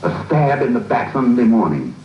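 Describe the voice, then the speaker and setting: A man's voice: medium pitch, very animated, normal speed. A man narrating in a scripted 1940s wartime propaganda newsreel style, dramatic and declamatory. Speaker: film narrator. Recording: old 1940s film soundtrack, muffled, distant, hissy, echoey.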